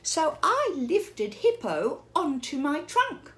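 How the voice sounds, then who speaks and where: An earnest male elephant, as a woman reads him aloud, telling his tale slowly and with drama. The voice rises and falls with animated emphasis, a gentle children's storybook figure. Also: high voice, a little hiss or hum, voice pushed up, exaggerated voice. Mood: emphatic.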